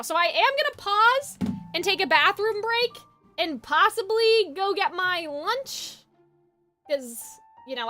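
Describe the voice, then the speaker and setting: A woman live-streaming a video game, reacting and chatting casually in an excitable, exclamatory way and often repeating herself. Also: very high voice. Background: game music.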